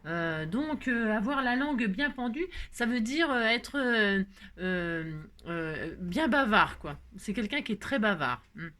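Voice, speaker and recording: A woman's voice: medium pitch, animated, measured pace, a bit bright. A woman hosting a craft video, chatting casually in a rambling, jokey way full of digressions. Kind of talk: casual chat.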